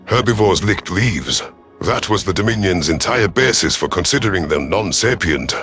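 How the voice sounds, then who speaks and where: A man's voice: deep voice, animated, moderate pace, fairly bright tone, faint music behind. A man narrating a first-person science fiction story in a dramatic audiobook style.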